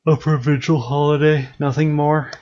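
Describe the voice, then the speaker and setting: A man's voice: on the high side, level tone, normal speed, darkish tone. A man hosting a live-stream interview, talking in a dry, matter-of-fact way. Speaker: stream host. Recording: dull lo-fi stream audio.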